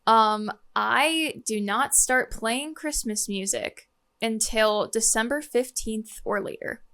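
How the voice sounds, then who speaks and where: Woman, co-host, casual chat, higher voice, dry brief remarks. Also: slow, fairly bright tone.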